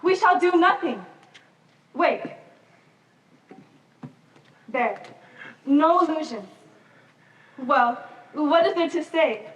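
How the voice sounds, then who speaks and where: A woman's voice: high-pitched, fairly bright tone. A teenage female student acting on stage as a poised, teasing Victorian lady. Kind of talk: high school stage play acting. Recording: echoey hall, distant mic, background noise.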